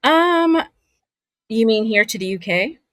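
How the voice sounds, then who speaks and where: A woman from Southern California, a voice actor, chatting as a guest over a remote video call from home, relaxed and quick to laugh. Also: fairly high pitch, no echo, clean studio sound. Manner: relaxed, unhurried, laughs easily. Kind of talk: casual interview chat. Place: home in Scotland.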